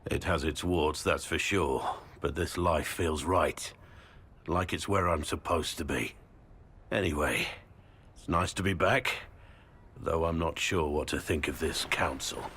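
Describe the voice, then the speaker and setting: Veteran fighter for a game: deep husky register, warm good humour, easygoing delivery. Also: male voice, measured pace, exaggerated voice. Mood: sincere, fond. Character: warm, joking veteran male warrior. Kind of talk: video game voice acting.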